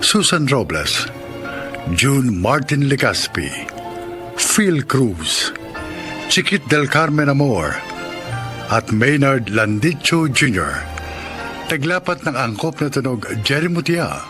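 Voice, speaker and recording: Filipino guy announcing radio drama credits, slow and swoopy, music behind him. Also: mid-range voice, sing-song. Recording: music bed under the voice.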